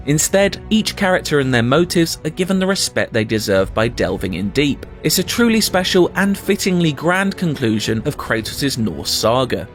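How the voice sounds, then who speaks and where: Casting: male game reviewer, scripted narration, polished and emphatic, animated pitch, light music underneath.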